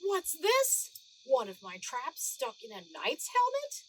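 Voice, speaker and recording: A woman's voice: sits high, wildly swooping pitch, slow, slight background noise, exaggerated voice, poor audio. A woman voicing a stern, suspicious, easily amazed male museum guard in a storybook read-aloud, exclaiming emphatically. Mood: suspicious, surprised.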